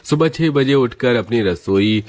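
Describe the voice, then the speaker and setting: A man's voice: mid-range voice, expressive, measured pace, darkish tone. A man narrating a scripted family moral story.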